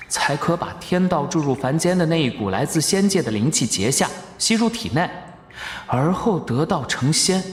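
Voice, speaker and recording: Sly young disciple acting all earnest, expressive audio drama voice, echoey, music under it. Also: male voice, a little high. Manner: earnest, deferential. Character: cunning young male disciple feigning earnest deference. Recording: echoey reverberant cave effect, music behind.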